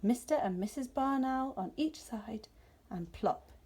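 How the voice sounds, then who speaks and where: A woman narrates a children's storybook in a gentle, expressive manner. Her voice is fairly high and mellow, slightly breathy, with animated intonation. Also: measured pace. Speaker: storybook reader.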